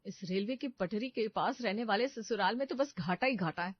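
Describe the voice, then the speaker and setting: A woman's voice: mid-range voice, moderate pace, breathy edge, highs cut off, close to an everyday voice. A woman voicing a complaining young housewife in a cartoon story, melodramatically lamenting. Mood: dismayed, lamenting.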